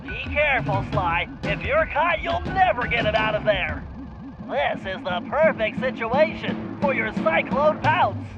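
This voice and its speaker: A man playing a brainy, anxious turtle tech sidekick in an animated video game, nasal, precise and wordy as he gives mission orders. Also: very high-pitched, measured pace, dull audio. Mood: cautious, instructive. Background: music.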